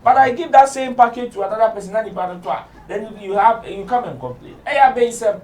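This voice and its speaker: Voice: medium pitch, lively intonation, fairly quick, darkish tone. Insistent voice, echoey and distant. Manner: mixes English with pidgin, insistent. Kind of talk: recorded interview clip. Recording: echoey room, away from the mic.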